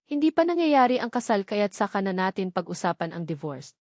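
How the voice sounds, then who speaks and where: A serious, exasperated and bossy Filipino billionaire played by a woman narrator in a romance audiobook. The delivery stays in a middle female pitch, with a very dry recording. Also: very animated, a little fast, darkish tone, dry recording, lightly acted. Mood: serious, curt. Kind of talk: audiobook character dialogue.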